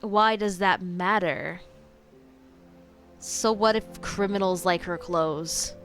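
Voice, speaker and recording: A woman's voice: on the low side, slow, fairly bright tone, soft background music. A woman streamer reacting casually to a video game, informal and opinionated, reading text aloud with some stumbles.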